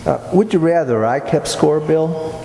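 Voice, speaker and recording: A man's voice: a little high, a little slow, gravelly, dark tone. A man playing a polite, patient straight man in an old-time radio comedy sketch. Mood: mild, placating. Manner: mild, conciliatory. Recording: echoey hall with background noise.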